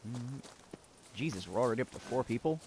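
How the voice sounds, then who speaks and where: Young male gamer, sarcastic, swears freely, very animated pitch, noisy capture. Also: a little fast. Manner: sarcastic, swearing banter with sudden high startled yelps. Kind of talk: gameplay commentary.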